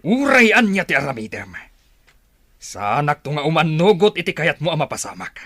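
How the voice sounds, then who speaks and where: A man playing a proud, defiant captive leader in a radio drama, raspy and impassioned. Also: a little high, very animated, normal speed, a bit bright, no echo, clean studio sound, exaggerated voice. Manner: impassioned, challenging. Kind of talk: Filipino radio drama acting.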